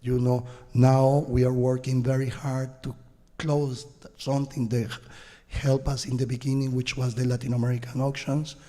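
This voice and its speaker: Panelist, man, very dark and flat, emphatic, hall echo. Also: medium pitch, normal speed. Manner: emphatic, searching for words. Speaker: panelist, art dealer. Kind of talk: panel discussion. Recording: echoey hall.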